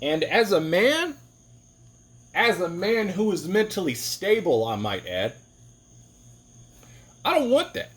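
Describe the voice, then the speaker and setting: A man's voice: sing-song, slow, long pauses, breathy edge. A man giving an opinionated, rambling monologue to camera, often restarting his sentences. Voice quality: deep voice. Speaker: vlogger.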